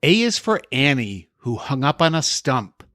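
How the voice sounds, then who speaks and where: A man's voice: medium pitch, very animated, unhurried, fairly bright tone. A man hosting a podcast, delivering scripted comic dialogue and storybook reading. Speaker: podcast host.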